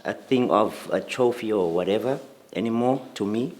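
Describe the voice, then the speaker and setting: A man's voice: on the low side, normal speed, a little mellow. A man in his twenties from South Africa, a filmmaker, speaking candidly and laughing as he talks. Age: 20s. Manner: candid, laughs while talking.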